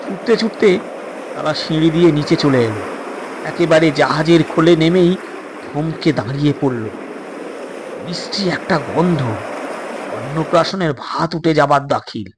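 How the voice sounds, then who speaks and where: A male storyteller with a fairly high voice reads a suspense tale. He takes his time, speaking slowly and expressively, with a dramatic, measured delivery. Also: expressive, background noise.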